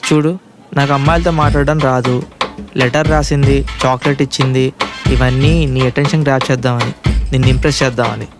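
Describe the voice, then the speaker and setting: A man's voice: mid-range voice, steady tone, quick pace, over loud music, natural voice. A man playing an earnest, awkward young college student in love, in a comedy audio drama. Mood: shy, earnest. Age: young adult.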